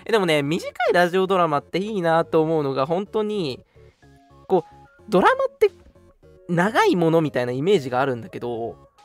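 抑揚豊かな男性ラジオパーソナリティ. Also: male voice, fairly high pitch, very animated, fairly quick, soft background music. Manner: polite announcer phrasing mixed with playful banter. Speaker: radio show host and scriptwriter. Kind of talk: casual hosting with announcements.

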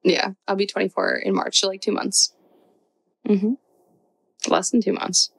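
Gravelly-voiced woman in her twenties, chatty. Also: medium pitch, flat delivery, measured pace, rough recording. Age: 20s. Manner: chatty, lots of "like", tells stories with acted quotes. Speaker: podcast co-host. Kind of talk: casual chat.

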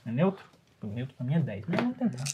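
A man's voice: medium pitch, sing-song, fast, dull audio. A man chatting casually as a player in a tabletop role-playing game, on a noisy recording. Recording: clearly very noisy room recording.

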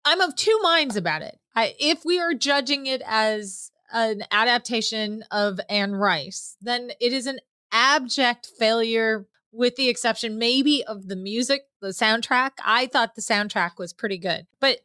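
A woman's voice: fairly high pitch, measured pace, bright, forceful tone, clear, resonant tone, dry recording. A woman co-hosting a podcast in casual chat, her voice a little scratchy and worn from a night of screaming. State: slightly scratchy, tired voice after screaming at a concert. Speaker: podcast co-host.

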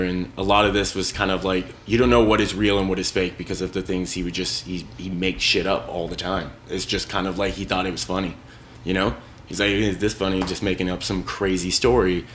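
A man's voice: low voice, fairly bright tone, slight background noise. A man hosting a podcast in loose, rambling casual chat, swearing freely. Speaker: podcast host.

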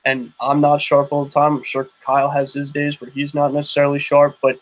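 A man's voice: on the high side, completely flat delivery, fairly quick, poor audio. A young man hosting a podcast interview, talking loosely with many restarts and "you know what I mean". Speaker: podcast host. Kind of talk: casual interview chat.

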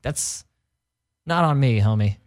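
A man with a light, youthful-sounding voice chats casually as a podcast host. He tells stories with jokes and laughs along the way, at a mid pitch. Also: lively intonation, slow. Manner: jokey, laughs often, storytelling. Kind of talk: casual chat.

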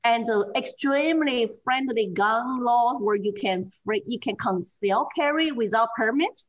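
Chinese-accented woman, earnest and animated. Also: fairly high pitch, measured pace, lo-fi sound. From China. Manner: earnest, animated, with frequent fillers and restarts. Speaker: guest, former political candidate. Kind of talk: interview over a call.

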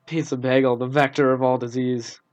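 A young man with a scratchy voice from a cold, co-hosting a casual podcast over the phone with dry, deadpan jokes. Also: medium pitch, fairly quick. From United States. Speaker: podcast co-host. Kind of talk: casual chat. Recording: dull phone-line sound.

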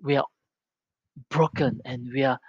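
Man, 50s, earnest reflective monologue, mid pitch, dry close sound. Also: fairly even intonation, a little slow, breathy edge, no room sound, lo-fi sound. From Malaysia. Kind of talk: personal opinion monologue.

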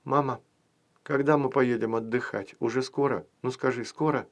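A man's voice: mid-range voice, fairly even intonation, moderate pace, lightly acted. Man voicing an impatient little girl, whiny and pleading.

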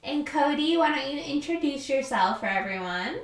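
A woman's voice: high voice, expressive, moderate pace. A woman hosting a podcast, chatty and bubbly, with a slight cold. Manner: bubbly, chatty, lots of likes and ums. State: has a cold. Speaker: podcast host. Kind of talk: casual podcast chat.

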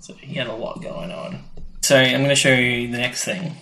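A man's voice: mid-range voice, steady tone, normal speed, creaky, pushed and bright. A man co-hosting a podcast in casual chat, explaining things with a fan's enthusiasm. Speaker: podcast co-host. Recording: echoey room.

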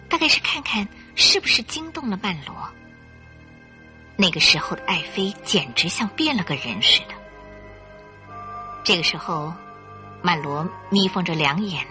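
A female narrator tells a radio drama story with suspense. Her voice is bright and medium-pitched, with animated, expressive intonation and faint music behind it.

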